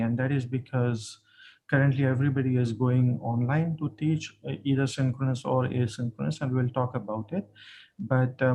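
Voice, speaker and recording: Man from Pakistan, guest lecturer, tired and relaxed, video-call mic, light background noise. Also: mid-range voice. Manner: friendly, relaxed, self-deprecating. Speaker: guest speaker, assistant professor. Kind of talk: webinar presentation. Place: home at night, video call. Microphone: computer mic on a video call.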